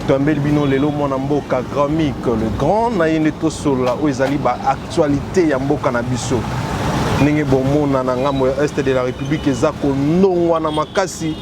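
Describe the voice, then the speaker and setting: Congolese web TV host, street noise. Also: male voice, mid-range voice, steady tone, normal speed. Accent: Congolese French. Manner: formal on-air greeting, mixes two languages. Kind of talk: show presenting and interviewing.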